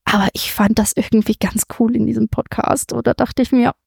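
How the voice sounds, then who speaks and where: Hesitant woman guest, slightly high voice. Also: steady tone, brisk, creaky, darkish tone, husky, dry recording, clean studio sound. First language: German. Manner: hesitant, many fillers and restarts. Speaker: guest, comic artist. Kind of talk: casual interview. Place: comic convention.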